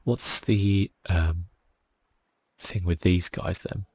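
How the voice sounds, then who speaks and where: Low, gravelly, soft-spoken ASMR gamer man. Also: steady tone, drawn-out words. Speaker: gaming channel host. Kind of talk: soft-spoken ASMR video game commentary. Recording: faint music behind.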